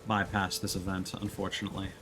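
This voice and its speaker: A man's voice: deep voice, completely flat delivery, quick pace. A man giving casual let's play commentary as a game streamer, musing and thinking aloud. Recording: clear background noise behind voice.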